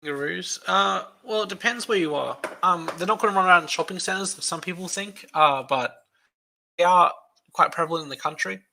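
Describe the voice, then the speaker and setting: A man's voice: sits high, fairly quick, creaky, pushed and bright. An Australian man who is a guest on a podcast, talking casually and rambling through long explanations.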